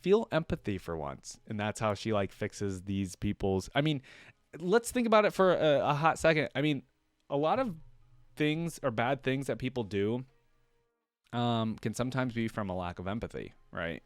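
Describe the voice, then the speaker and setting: A man's voice: medium pitch, expressive, moderate pace, no echo. A man hosting a reaction video, reading aloud and commenting casually.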